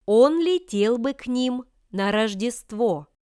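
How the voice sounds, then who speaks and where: A woman reading example sentences for language learners with careful, deliberate enunciation. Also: on the high side, lively intonation, a little mellow, clean tone, no room sound, clean studio sound. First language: Russian. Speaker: language lesson reader.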